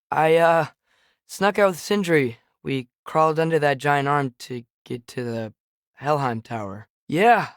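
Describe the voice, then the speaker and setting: A man's voice: on the high side, no room sound, studio quality, lightly acted. A young male actor playing an earnest, sensitive American teenage boy adventurer in a video game, speaking with a questioning, slightly hesitant manner. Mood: subdued, reflective. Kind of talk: video game character dialogue.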